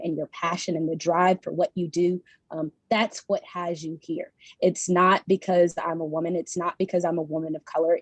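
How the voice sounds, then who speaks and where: A woman with a slightly low, warm, mellow voice speaks steadily and with conviction. The sound is dry and close, as on a video call. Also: slightly rough, no echo. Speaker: panelist, Army National Guard servicemember. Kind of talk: panel discussion.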